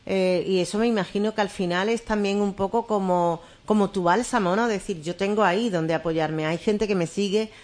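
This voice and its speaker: A woman hosting a radio show, asking long, friendly questions with plenty of "eh" fillers. Her voice is mid-pitched and even. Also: normal speed, few pauses. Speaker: radio host. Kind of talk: radio interview.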